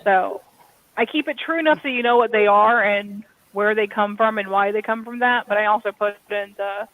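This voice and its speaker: A woman's voice: mid-range voice, moderate pace, phone-quality sound. A woman from Kentucky with an American accent, chatting and explaining casually as an author on a discussion panel. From Kentucky, USA.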